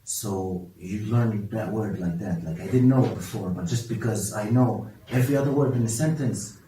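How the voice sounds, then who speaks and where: A man's voice: fairly low pitch, moderate pace, dark tone. A young man whose first language is Arabic, answering interview questions in a casual, hesitant way with many restarts. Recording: echoey room from a distance.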